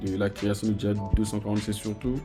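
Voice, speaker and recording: A man, a software engineer, answering interview questions with calm, measured explanations. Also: lowish voice, faint music behind. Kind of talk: casual interview chat.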